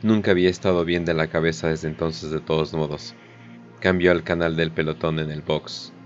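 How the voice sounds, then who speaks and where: A man's voice: very deep voice, level tone, normal speed, highs cut off, over music. A man narrating a scripted war story. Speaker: audiodrama narrator.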